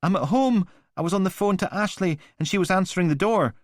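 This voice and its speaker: A man's voice: sits high, normal speed, no room sound, clean studio sound, pitched above the performer's own voice. A man voicing a terrified young woman on a recorded emergency call, panicked and pleading.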